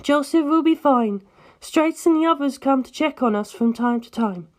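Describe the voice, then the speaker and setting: A woman voicing a gentle, worried, maternal woman who is protective of her family, in a story reading. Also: sits high, moderate pace, voice pushed up, natural voice. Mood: tender, resigned.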